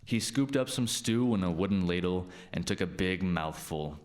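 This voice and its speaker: A man's voice: sits low, lively intonation, normal speed, darkish tone. A man narrating a scripted folk tale as a storyteller.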